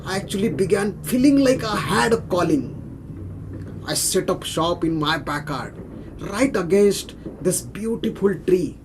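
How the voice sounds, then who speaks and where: A man's voice: sits high, lively intonation, a little slow, background noise. Indian man acting a self-mocking would-be painter. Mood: rueful.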